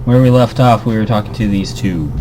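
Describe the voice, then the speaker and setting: A man's voice: fairly low pitch, a little fast, a little mellow, slight background noise. A man hosting a casual let's play, chatting and reading a visual novel aloud in a jokey way. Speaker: host of a gaming series.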